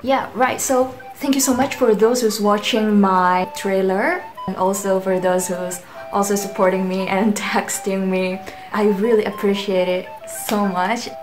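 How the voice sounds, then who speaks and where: A young Indonesian woman hosting her own vlog from a small bedroom, chatting to the camera in a rambling, self-deprecating way. Her voice rings in a roomy, echoing space. Clear background music plays under her talk. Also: medium pitch, fairly even intonation, a little slow. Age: young adult. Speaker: channel host. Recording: roomy echo with music behind.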